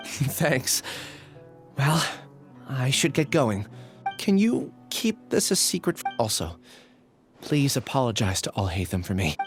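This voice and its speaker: An actress playing a gentle, wistful test subject in an anime game, reflective and resigned. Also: female voice, extremely low pitch, very animated, takes it slowly, pauses often, over music, heavily acted. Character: soft-spoken, wistful, gentle test subject finding courage.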